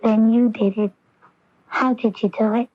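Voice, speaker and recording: An actress playing an earnest, intense young daughter in a 1980s radio drama, with deliberate, theatrical phrasing. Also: female voice, fairly high pitch, steady tone, dry recording. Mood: wondering, intense. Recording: dull, dry AM radio recording.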